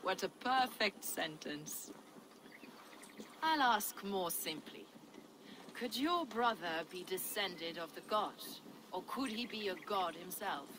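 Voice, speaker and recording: An actress playing a learned, zealous medieval mystic, measured and preacherly, in a dramatic video game. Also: female voice, medium pitch, animated, background noise. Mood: fervent.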